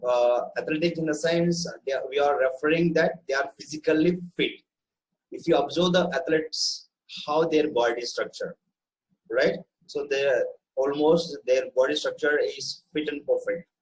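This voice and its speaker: Male online English tutor, Indian accent, pitch a bit above average, instructive, frequent checks for understanding. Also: normal speed, low-quality recording. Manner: instructive, often checks with "right?". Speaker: English teacher. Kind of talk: online vocabulary lesson.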